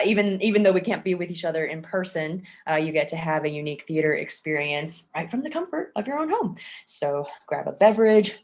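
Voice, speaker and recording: A woman hosting a virtual event on a video call, speaking casually with a wry, self-deprecating manner. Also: lowish voice. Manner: informal, wryly self-deprecating. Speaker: event host and playwright. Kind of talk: casual introduction to a virtual staged reading.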